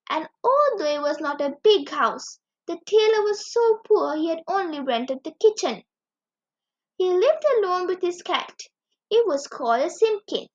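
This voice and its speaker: A woman reading a children's story aloud as a storyteller, with expressive, animated delivery. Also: sits high. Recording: dull lo-fi recording.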